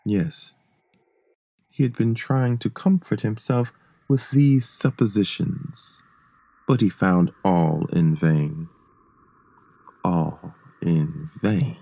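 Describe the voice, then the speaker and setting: A man playing a sly, gleeful murderous madman in a theatrical, halting gothic horror reading that drops into whispers. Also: low-pitched, steady tone, mellow, no echo, heavily acted. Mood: menacing, deliberate.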